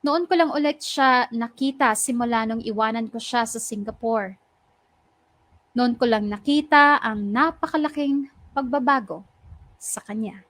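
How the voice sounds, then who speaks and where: A woman playing a heartbroken young woman, narrating her lost love in measured, sentimental radio drama style. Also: on the high side, fairly bright tone, rough recording. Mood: wistful. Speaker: narrator telling her own love story.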